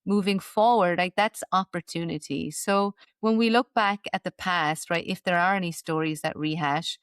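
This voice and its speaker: A woman's voice: lowish voice, moderate pace, clean tone, no room sound, studio quality. A woman guest in a podcast interview, talking earnestly about mind-body healing and often checking in with "right?". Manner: earnest, frequent "right?" tag questions. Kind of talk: reflective interview talk.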